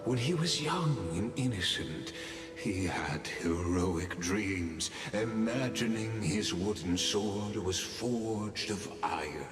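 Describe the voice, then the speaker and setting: Deep, reverberant enigmatic male storyteller. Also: expressive. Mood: wistful, admiring. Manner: theatrical, measured, teasing. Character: poised, enigmatic male storyteller guiding visitors through memories. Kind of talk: dramatic game dialogue narration. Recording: echoey long reverb, music behind.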